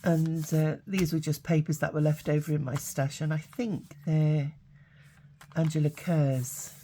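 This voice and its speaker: A woman speaks in a hoarse, low voice, roughened by a cold. Her tone is mellow and unhurried, and she talks casually with plenty of ums and uhs. A faint background hiss sits under the recording. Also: little pitch movement. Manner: chatty, halting, many fillers. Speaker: craft channel host. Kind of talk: casual craft flip-through.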